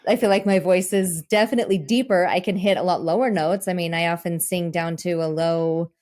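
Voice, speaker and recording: Female podcast host with a lowish voice, chatty and laughing, thinks out loud. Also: fairly quick. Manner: laughs easily, thinks aloud with many fillers. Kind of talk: casual podcast conversation.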